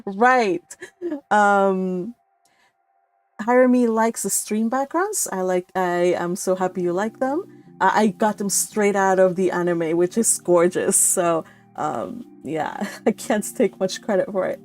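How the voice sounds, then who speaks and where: Woman, livestream host, effusive and affectionate, casual chat delivery, mid pitch, faint music underneath. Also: slow. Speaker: live stream host reading and answering chat.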